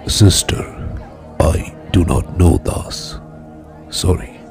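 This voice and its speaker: A man playing an apologetic prison inmate in an audio drama, halting and hesitant. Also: sits low, animated, creaky, over music, exaggerated voice.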